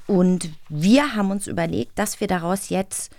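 Creaky-voiced woman hosting, dry sound. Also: mid-range voice, normal speed, a little mellow, no echo. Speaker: host. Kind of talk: casual chat.

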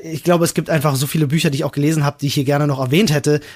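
A man's voice: fairly high pitch, steady tone, racing, darkish tone. A man chatting casually and enthusiastically as a podcast co-host, in colloquial language.

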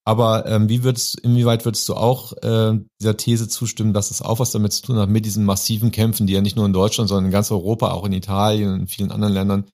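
A man's voice: on the low side, flat delivery, fast, hardly any pauses, darkish tone. A man hosting a political discussion, speaking in long, earnest, academic sentences. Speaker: podcast host and interviewer.

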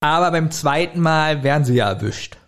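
A man's voice: a little high, lively intonation, normal speed. A man co-hosting a podcast, casually commenting and thinking aloud in loose phrases. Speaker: podcast co-host. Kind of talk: casual chat, commenting on an audio drama.

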